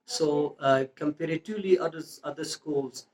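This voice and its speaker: Pakistani man speaking earnest, halting English. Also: on the high side, darkish tone, slight background noise, low-quality recording. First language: Urdu or a local language. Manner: earnest, pausing often with fillers and restarts; non-native English with grammar slips. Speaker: school founder and English teacher. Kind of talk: interview answers.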